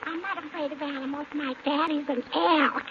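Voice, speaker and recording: A woman's voice: high voice, steady tone, normal speed. A woman playing a bratty, wisecracking three-year-old girl with cutesy childish delivery in a 1940s radio comedy. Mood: cheeky, pleading. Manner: cutesy childish delivery of smart-aleck comebacks. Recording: dull, noisy 1940s radio recording, audience. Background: studio audience.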